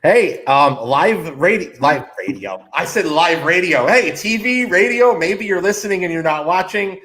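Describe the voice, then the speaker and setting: A man with a fairly high voice co-hosts a sports talk show. He is casual but blunt and opinionated, breaking his points into short, punchy phrases. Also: expressive, moderate pace, a bit bright.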